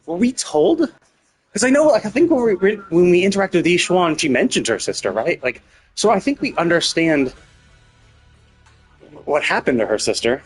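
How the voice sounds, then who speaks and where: A man's voice: high-pitched, big pitch swings, normal speed, pauses often, soft background music, rough recording. A man giving casual, speculative game commentary as a live streamer, thinking aloud and often rephrasing himself.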